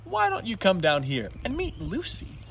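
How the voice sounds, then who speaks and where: A man's voice: sits high, wildly swooping pitch, moderate pace, gravelly, distant mic, background noise, pitched above the performer's own voice, heavily acted. A man voicing Percy, a cheerful, good-natured animal from a children's storybook, chatty and eager. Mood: amused.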